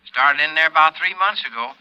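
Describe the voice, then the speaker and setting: A man's voice: a little high, fairly quick, bright, forceful tone, smooth, dull audio. An actor playing a folksy, easygoing male informant with a drawl in a 1950s radio drama.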